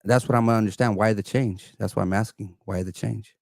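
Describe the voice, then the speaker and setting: A man's voice: on the low side, fairly even intonation, fast, creaky, darkish tone, dry recording, clean studio sound. A man from California arguing earnestly on a live stream from an apartment, repeating phrases and talking over the other man. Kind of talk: heated argument. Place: indoors, an apartment.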